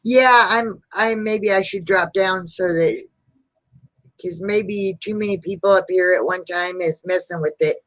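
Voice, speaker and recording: A woman's voice: mid-range voice, measured pace, a bit bright, dry recording, rough recording. A woman who is a guest on a live video chat, friendly and helpful and asking lots of questions. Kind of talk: casual chat. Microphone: video call.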